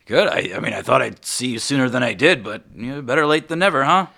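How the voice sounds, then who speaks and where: A man's voice: mid-range voice, fast talker, creaky, bright, forceful tone, voice pushed up. A man voicing an earnest, folksy farmer who is a player's brother, talking eagerly with some stammering. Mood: friendly. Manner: eager, stammering, good-natured.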